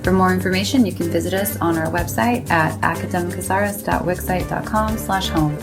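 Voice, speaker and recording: Lowish-voiced woman podcast announcer. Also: a little fast, music in the background. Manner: tongue-in-cheek. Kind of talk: scripted outro announcement.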